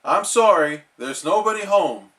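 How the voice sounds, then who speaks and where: A man's voice: high voice, animated, unhurried, fairly bright tone, voice pushed up. A man voicing a dim, overconfident male cartoon starfish who plays at being a doctor, emphatic and self-important. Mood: evasive.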